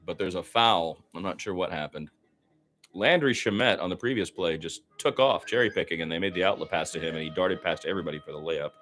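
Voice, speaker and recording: A man's voice: low voice, fairly quick. A man doing live radio play-by-play of a basketball game in short, clipped phrases that rise with the action. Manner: narrates action in short clipped phrases, rising excitedly on big plays.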